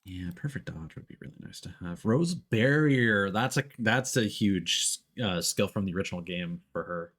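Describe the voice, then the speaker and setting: Lively, low-voiced man streaming a game. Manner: halting, thinking aloud. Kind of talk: casual live gameplay commentary.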